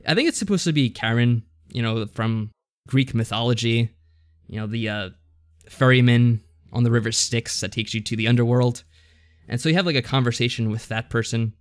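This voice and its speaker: A man's voice: medium pitch, normal speed, no echo, clean studio sound. A man co-hosting a casual podcast, recapping a story in a rambling, unsure way and often correcting himself. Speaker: podcast co-host. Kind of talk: casual chat recapping a visual novel story.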